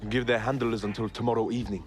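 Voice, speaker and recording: A man's voice: medium pitch, steady tone, fast, natural voice. Guy acting curt dock boss in a video game, bossy, all business, medium voice, faint music behind. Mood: curt, commanding. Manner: commanding, businesslike. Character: curt, callous male boss of dock guards.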